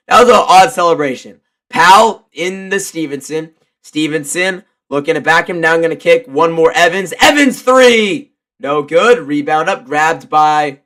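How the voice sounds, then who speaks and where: Bright, congested male sports commentator. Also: fairly high pitch. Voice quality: stuffy, congested. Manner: excitable, shouts on big plays. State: congested from a cold. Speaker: live play-by-play commentator and streamer. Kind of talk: live basketball play-by-play with casual reaction.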